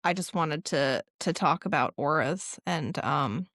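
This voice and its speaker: Young female co-host, lively casual storyteller, dry close-miked sound. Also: low-pitched, unhurried, no echo. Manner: animated storytelling with laughs and acted quotes. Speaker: podcast co-host. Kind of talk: casual chat.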